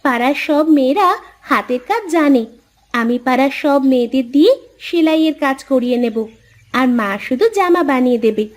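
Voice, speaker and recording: A woman's voice: high-pitched, moderate pace, lightly acted. A woman voicing a young village farmer's daughter in a cartoon, earnest, caring and sweetly persuasive. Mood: confident. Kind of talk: Bengali moral-story cartoon voice acting.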